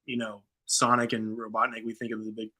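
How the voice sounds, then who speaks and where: A man's voice: lowish voice, monotone, a little fast, bright, forceful tone. A man co-hosting a casual fan talk show, speaking in an informal, conversational and noncommittal way. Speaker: co-host of a video game fan show. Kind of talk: casual conversational film discussion.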